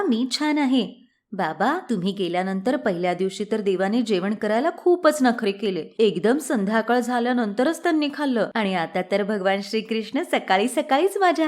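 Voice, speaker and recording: A woman's voice: fairly high pitch, darkish tone, lightly acted. A woman voicing an innocent, devoted young village woman with a sweet, earnest, coaxing manner. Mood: happy.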